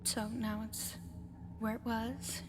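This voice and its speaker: A woman voicing a gentle giant alien in an ASMR roleplay, speaking softly and often whispering, in a hesitant, halting, explaining way. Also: fairly high pitch, flat delivery, background noise. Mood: gentle, apologetic. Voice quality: soft, breathy, often whispered. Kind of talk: ASMR roleplay addressed to the listener.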